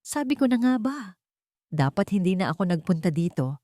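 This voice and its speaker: A woman's voice: medium pitch, big pitch swings, moderate pace, warm tone, no room sound, studio quality, lightly acted. A woman playing a tearful, self-blaming young mother in an audio drama, with a broken voice. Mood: despairing, tearful.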